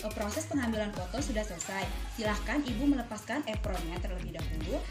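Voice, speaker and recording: A woman's voice: mid-range voice, lively intonation, fast talker. A young adult woman giving a polite, step-by-step instructional demonstration as a student. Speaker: dental student demonstrating a clinical procedure. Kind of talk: instructional skills demonstration with role-played patient dialogue. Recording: roomy echo, music behind.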